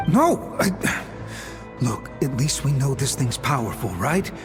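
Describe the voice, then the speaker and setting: A man's voice: medium pitch, very animated, a little slow. A man voicing a jittery, reluctant henchman in a video game, nervous and stammering, with music behind.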